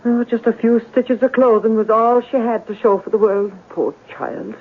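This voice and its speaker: An actress playing a flustered, garrulous middle-aged working-class landlady in an old-time radio drama, rambling with exclamations and rough grammar. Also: a little high, steady tone, moderate pace, lightly acted. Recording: muffled vintage radio broadcast.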